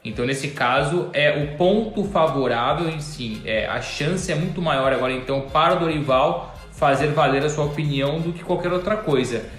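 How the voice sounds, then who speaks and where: A man's voice: on the high side, normal speed, few pauses, fairly bright tone, roomy sound, soft background music. A man giving football commentary as a pundit on a talk show. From Portugal.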